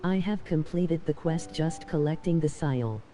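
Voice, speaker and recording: Very dark synthetic read-out voice. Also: medium pitch, measured pace, soft background music.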